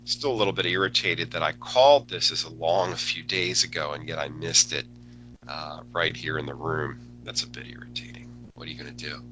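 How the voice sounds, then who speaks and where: A man hosting a live trading webinar, talking casually with many fillers, his voice still a little low after a cold. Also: steady tone, brisk, bright, forceful tone, a little hiss or hum, poor audio. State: recovering from a cold, voice a bit lower. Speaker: forex educator hosting a webinar. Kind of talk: live market analysis webinar.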